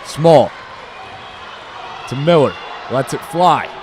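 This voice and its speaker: A young American man giving live basketball play-by-play in short, clipped calls that rise to excited shouts. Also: on the high side, slow pace. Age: young adult. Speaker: play-by-play commentator. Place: indoor high school gym during a game. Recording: noisy gym with loud crowd. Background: crowd noise, bouncing basketball.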